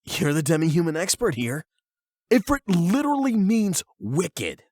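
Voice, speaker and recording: A man's voice: high-pitched, very animated, measured pace, darkish tone, no room sound, pitched above the performer's own voice, exaggerated voice. Man performing young male delinquent, half demon, bitter, self-loathing, brash, anime roleplay, dry sound. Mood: agitated, self-loathing. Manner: brash, sweary, defensive. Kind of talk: anime audio roleplay acting.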